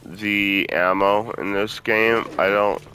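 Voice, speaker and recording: A man hosts a gaming video with a deep, forceful voice. He speaks slowly and informally, chatting straight to viewers as he plays and swearing freely. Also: steady tone. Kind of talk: casual let's-play commentary.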